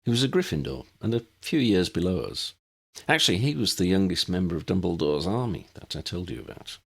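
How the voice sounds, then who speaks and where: A man voicing an earnest grown man in an audiobook, telling his story in a halting, reflective way. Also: low voice, lively intonation, gravelly, husky, heavily acted. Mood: explaining. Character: earnest grown man recalling a lost school friend.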